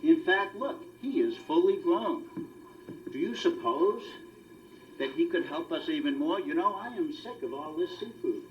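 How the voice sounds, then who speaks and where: A man's voice: on the high side, lively intonation, unhurried, background noise. A man storyteller voicing an old woman who coaxes her husband. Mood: coaxing. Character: old woman, tired of seafood, pushy. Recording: muffled lo-fi recording.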